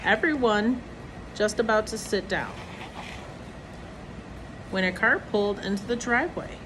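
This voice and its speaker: A woman reads a children's story aloud at a medium pitch. Her delivery is expressive, as if for young listeners. Clear background noise runs behind her voice. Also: unhurried. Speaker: storybook reader. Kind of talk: reading a children's picture book aloud.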